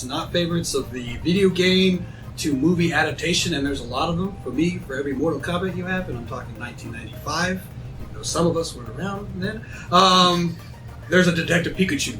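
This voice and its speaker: A man's voice: a little high, a bit bright, distant mic, rough recording. A man hosting a casual roundtable discussion, talking as the presenter to a group and audience. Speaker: host.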